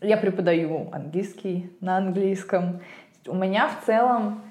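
A woman from Russia, an English teacher co-hosting a podcast, telling stories in an animated, emphatic way. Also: mid-range voice, measured pace. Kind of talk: casual podcast chat. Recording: roomy echo.

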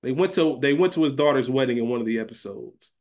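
A man's voice: mid-range voice, lively intonation, quick pace, darkish tone. A man chatting casually as a podcast co-host, explaining things in an informal, slangy way. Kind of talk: casual chat, fantasy draft banter.

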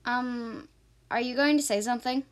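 A female podcast co-host with a high-pitched voice. Her talk is casual and informal, like banter with a partner. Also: steady tone, normal speed. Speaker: co-host of homemade drama podcast.

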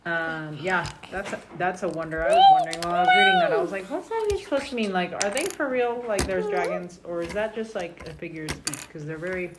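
Low-voiced woman in a noisy recording. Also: big pitch swings, brisk, hardly any pauses. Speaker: family podcast host reading scripture aloud and commenting. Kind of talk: casual family chat with scripture reading.

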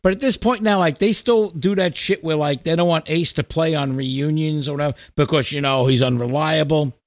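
Casting: middle-aged male podcast host, fairly high voice, dry close recording, blunt and foul-mouthed in casual, emphatic chat. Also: brisk, dry recording. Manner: profane, blunt, opinionated, emphatic.

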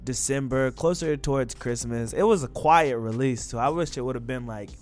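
A young man co-hosting a casual podcast, talking about music in a laid-back, slangy way. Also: mid-range voice. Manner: laid-back, slangy, drawn-out shout-outs. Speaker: podcast co-host.

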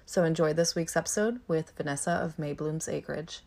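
A woman with a slightly raspy voice, chatting casually and candidly as a podcast host. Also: low-pitched, a little fast. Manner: candid, informal.

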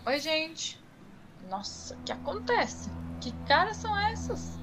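An actress playing a bubbly friend in an audio drama, coaxing in a soothing, instructing way. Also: female voice, extremely high pitch, very animated, takes it slowly, over music, full character voice, poor audio. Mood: curious.

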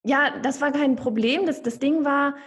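A young woman talking casually as a podcast guest, lively and chatty with many fillers and restarts. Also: high voice, moderate pace. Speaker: podcast guest, online course creator.

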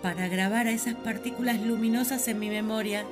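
A woman's voice: fairly high pitch, steady tone, brisk, over music, close to an everyday voice. A woman playing Eve, an earnest, talkative young first woman, telling her story in a radio play. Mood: wistful. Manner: earnest, wistful, storytelling to the listener.

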